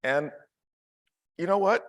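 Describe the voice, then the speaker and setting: A man's voice: high-pitched, lively intonation, slow pace, a bit bright, no room sound. A man in his fifties, speaking earnestly and reflectively in a casual conversation over a video call, often chuckling. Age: 50s. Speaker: conference speaker in a Q&A.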